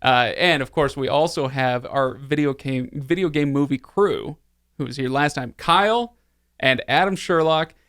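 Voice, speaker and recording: Guy hosting a podcast, casual and jokey, tongue in cheek, mid pitch, super dry sound. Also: normal speed, a bit bright, clean tone, dry recording. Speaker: podcast host.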